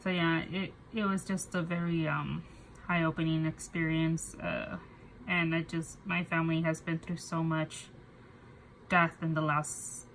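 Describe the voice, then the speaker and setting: A woman's voice: sits low, flat delivery, slight background noise, rough recording. A young woman with a cold and a nasal voice, talking casually to camera and often stopping to restart her sentences with fillers. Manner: halting, soft, with many fillers and restarts. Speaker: budgeting vlogger. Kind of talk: casual personal talk to camera.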